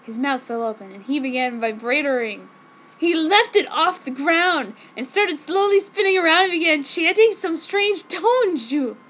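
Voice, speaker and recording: A woman's voice: high-pitched, moderate pace, a bit bright, rough recording. A woman giving an exaggerated, mock-serious dramatic reading of a story as an amateur fanfiction reader. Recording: noticeable background noise.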